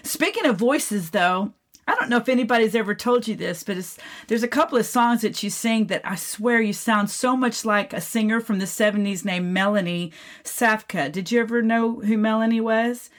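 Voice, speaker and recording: A woman's voice: fairly high pitch, moderate pace. A middle-aged American woman hosting a casual radio interview, chatty and effusive as she talks. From Florida, United States.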